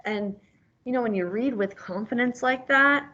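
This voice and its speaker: A woman's voice: fairly high pitch, normal speed, pushed and bright, highs cut off. A woman reading a storybook aloud like a teacher, encouraging and prompting the listener with questions.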